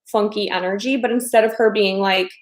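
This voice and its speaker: A woman in her thirties from the American Midwest talks casually to a live-stream audience as a dating coach. Her talk is chatty and loose, full of fillers and repeated phrases, at a middle pitch. She sounds like she is in a room with a noticeable echo. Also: steady tone, brisk. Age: 30s.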